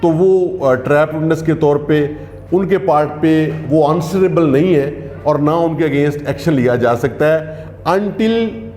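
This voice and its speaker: A man who practises criminal law, explaining legal procedure in a measured way, often pausing to hesitate. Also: fairly high pitch, mellow, echoey room, a little hiss or hum. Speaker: lawyer answering legal questions.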